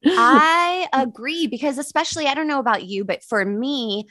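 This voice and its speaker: An American woman of thirty-nine hosting a comedy podcast in casual chat, candid and casually profane, quick to laugh. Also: high-pitched, sharp tone, dry recording. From Pittsburgh, United States. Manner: laughs readily.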